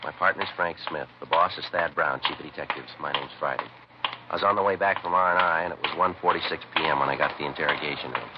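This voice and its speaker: Deadpan police sergeant, very low and quick, old radio play, hissy dull recording. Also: male voice. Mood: flat. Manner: clipped, deadpan, matter-of-fact. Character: terse male police detective sergeant. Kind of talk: 1950s radio crime drama acting.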